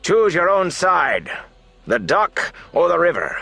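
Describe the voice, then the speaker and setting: A man acting a loud, high-pitched blustering ship's captain. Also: wildly swooping pitch, takes it slowly, vocal fry, husky, no echo, soft background music, exaggerated voice. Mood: furious. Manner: blustering, forceful, with rustic sailor grammar. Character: gruff, roaring, commanding male riverboat captain.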